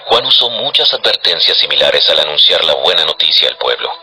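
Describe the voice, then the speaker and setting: A man with an extremely low voice narrates a dramatized Bible story. His delivery is measured and declarative, with a crisp, forceful edge, and faint music plays beneath him. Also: very animated, poor audio.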